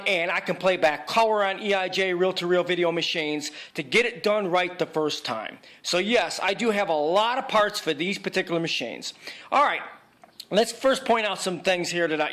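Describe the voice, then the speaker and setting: A man with a distinctly high voice talks through a technical tutorial. He sounds like an experienced repair technician speaking informally. His delivery is plain-spoken and emphatic, circling back to repeat key advice. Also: fairly bright tone. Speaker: video equipment repair technician giving a tutorial. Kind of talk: informal instructional narration over pictures.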